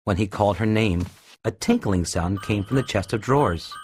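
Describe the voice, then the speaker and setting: A man's voice: on the low side, moderate pace, background noise. A man narrating a scripted children's storybook tale. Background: music.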